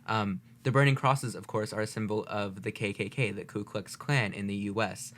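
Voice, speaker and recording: Lower-voiced man giving casual analytical commentary. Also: fairly even intonation, normal speed, fairly bright tone, slight background noise. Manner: analytical, articulate explaining, tagging points with "right?". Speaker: video host, music reactor.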